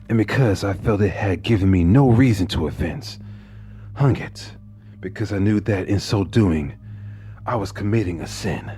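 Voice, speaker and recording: A man narrating a horror story in the first person, intense, dropping to hushed whispers and rising into anguished outbursts. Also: low voice. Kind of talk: dramatic horror story reading.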